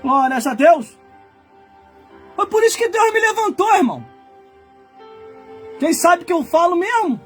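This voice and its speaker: A man in his forties preaching with fire, his voice sitting very high as he climbs into shouted warnings and then sinks to low, muttered asides. Soft music plays faintly behind him. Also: big pitch swings, unhurried, long pauses. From Brazil. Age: 40s. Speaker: pastor. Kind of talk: fiery Pentecostal-style sermon.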